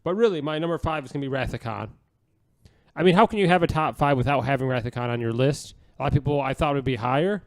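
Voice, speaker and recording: A man's voice: mid-range voice, fast talker, dry recording. A man hosting a podcast in a casual, conversational panel-chat style. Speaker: podcast host.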